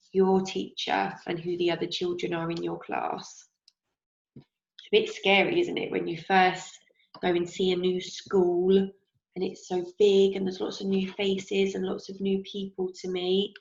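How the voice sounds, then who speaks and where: A young woman teacher with a slightly croaky voice, gently leading a craft lesson for young children and asking them questions as she goes. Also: lowish voice, little pitch movement. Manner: slow, encouraging, asks children questions. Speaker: primary school teacher. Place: home kitchen, indoors. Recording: roomy, dull lo-fi sound.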